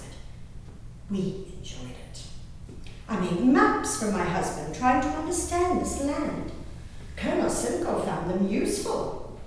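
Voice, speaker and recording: Actress as haughty genteel colonial lady, stage diary monologue, swooping intonation, prim formal diction, very echoey distant recording. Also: fairly low pitch. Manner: prim, emphatic, savoring pauses, old-fashioned formal diction. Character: genteel, spirited, haughty English colonial gentlewoman diarist. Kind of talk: theatrical dramatic diary reading on stage. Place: indoor hall, live performance recorded from a distance. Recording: roomy echoing hall, distant mic, faint noise.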